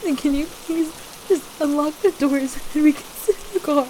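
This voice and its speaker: A woman acting a distressed, frightened young woman in an intimate audio roleplay, pleading and apologetic, haltingly repeating herself. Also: high voice, close to an everyday voice, low-quality recording. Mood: shaky, subdued. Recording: steady rain noise over the voice. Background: rain.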